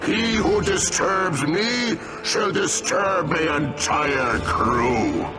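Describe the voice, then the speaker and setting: A man's voice: on the high side, animated, very slow, over loud music, poor audio. A man playing a menacing, miserly pirate captain in a video game, narrating his log in a grandiose, theatrical pirate drawl. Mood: ominous, vengeful.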